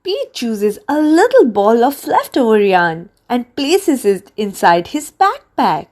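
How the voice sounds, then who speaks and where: A woman reading a children's storybook aloud in an expressive, deliberate way meant for young listeners. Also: on the high side. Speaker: children's book reader.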